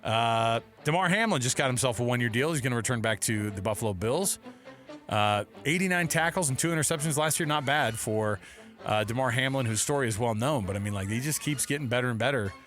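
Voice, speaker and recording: An American man co-hosting a sports talk show, casual and slangy, joking and excitable as he gives his commentary. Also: medium pitch, brisk, soft background music. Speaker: sports radio co-host. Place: radio studio.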